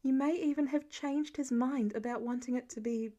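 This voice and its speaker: A woman's voice: sits high, fairly even intonation, normal speed, warm tone, lightly acted. A woman voicing an encouraging, affectionate wife and mother in an audiobook story, eager and supportive.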